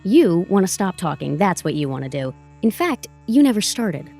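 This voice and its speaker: An actress playing a brash, self-assured lawyer with breezy, theatrical sarcasm in an audio drama. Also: female voice, fairly low pitch, very animated, normal speed, warm tone. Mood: breezy, sarcastic. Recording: faint music behind the voice.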